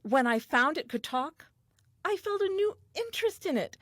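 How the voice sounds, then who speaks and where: An actress playing a talkative, eager and self-assured first woman telling her diary in a comic audio play, earnest and expressive. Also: sits high, normal speed, no echo, clean studio sound. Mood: curious, delighted.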